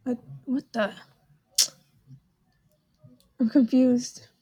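Podcast co-host, woman, higher voice, dry home recording, animated and joking. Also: very slow, slightly rough, dry recording, muffled recording. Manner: animated, exclaims, jokes around. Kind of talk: casual chat solving riddles. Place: at home in her parents' house.

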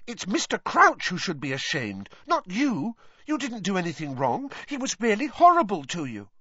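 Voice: mid-range voice, huge pitch swings, normal speed, fairly bright tone, husky, no echo, muffled recording, voice pushed up, full character voice. A woman voicing an earnest, indignant but kindly girl in a storybook, with a firm, insistent, well-spoken manner. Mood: indignant, consoling.

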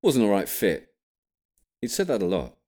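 British male audiobook reader, deep voice, lively intonation, expressive comic storytelling. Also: moderate pace. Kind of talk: scripted comic narration.

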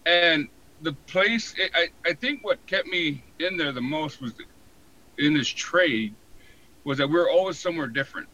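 An American man in his forties, telling his story casually in an interview with a halting, colloquial delivery full of "you know". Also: on the high side, pushed and bright, lo-fi sound. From San Diego, born in Hawaii. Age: 40s. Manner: halting, colloquial, frequent 'you know'. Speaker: podcast guest, fire protection inspector.

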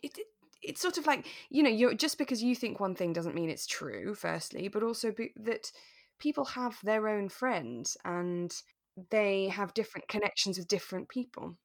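A woman co-hosting a podcast, talking through a radio drama in a relaxed, thoughtful, explanatory way. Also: medium pitch, moderate pace. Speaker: podcast co-host.

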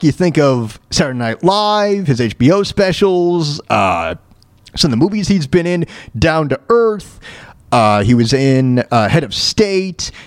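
A man with a notably high voice talks casually as a solo show host. He stammers through repeated false starts, sounding plaintive and self-deprecating. The sound is very dry, with no room echo. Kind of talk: casual personal rant and storytelling.

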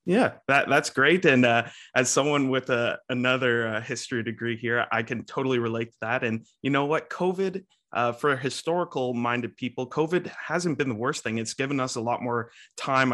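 A man's voice: medium pitch, brisk, hardly any pauses, fairly bright tone. Upbeat man hosting an interview. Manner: friendly, upbeat, with filler uhs. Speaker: podcast host.

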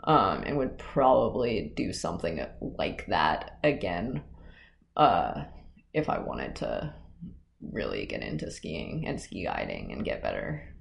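A young woman with a very low, gravelly voice talks softly and loosely, filling her sentences with little hedges. The room around her rings with a noticeable echo. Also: wildly swooping pitch, slow pace. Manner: quiet, hedging, many fillers. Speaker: climbing guide. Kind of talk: casual interview chat. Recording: roomy echoey recording.

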